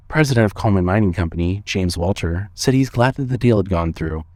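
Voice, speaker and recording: A man narrating a scripted story with measured, suspenseful storytelling. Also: mid-range voice, a little mellow, no room sound.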